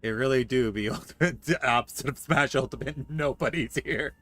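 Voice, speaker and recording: A man's voice: medium pitch, moderate pace, a bit bright. A man doing casual, joking gameplay commentary as a game streamer. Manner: informal, joking, exclamatory. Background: faint game music.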